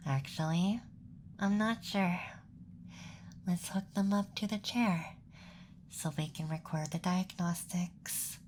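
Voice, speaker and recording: A woman playing a cute, doting, clingy young alien abductor in soft, coaxing ASMR roleplay, close to the microphone. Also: on the low side, takes it slowly, pauses often, a little hiss or hum. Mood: curious. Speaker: ASMR performer.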